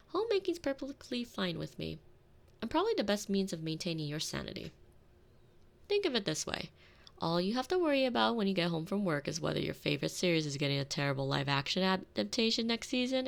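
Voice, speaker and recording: A woman's voice: lowish voice, gravelly. A woman playing a sardonic, thrifty retired villainess turned doting housewife in an ASMR roleplay, with wry, teasing, theatrical delivery. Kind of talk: scripted ASMR roleplay audio drama. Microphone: close binaural microphone.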